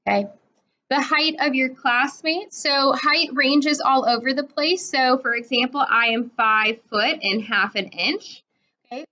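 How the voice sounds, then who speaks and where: A woman's voice: fairly high pitch, sharp tone. A woman in her twenties teaching a math lesson, explaining step by step and checking in with a frequent "right?". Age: 20s. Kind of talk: recorded classroom lesson. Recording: dull lo-fi recording.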